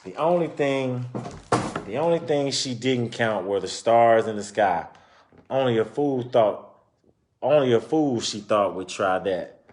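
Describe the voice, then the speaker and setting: A man reading a children's book aloud and pausing to explain and comment informally to his listeners. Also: medium pitch, a little mellow. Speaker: reader presenting a children's book. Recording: roomy, echoing sound.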